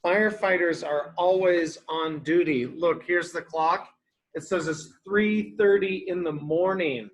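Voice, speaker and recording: A man's voice: a little high, measured pace, low-quality recording. A man reading a picture book aloud to young children, explaining in simple, repeated words and asking questions as a teacher does.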